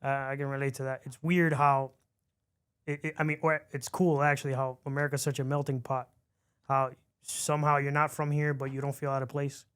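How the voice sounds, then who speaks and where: A man's voice: medium pitch, little pitch movement, fairly quick, fairly bright tone, smooth. A man from Miami hosting an interview, chatty and curious. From grew up in Miami among Cuban families. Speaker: podcast host. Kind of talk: casual interview questions.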